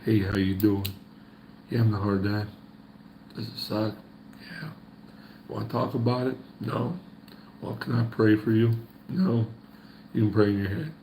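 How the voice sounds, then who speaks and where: A man's voice: lowish voice, measured pace, pauses often, dark tone, reverberant, slight background noise, low-quality recording. A man giving casual motivational faith talk to the camera, folksy and preachy, repeating his points. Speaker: faith vlogger.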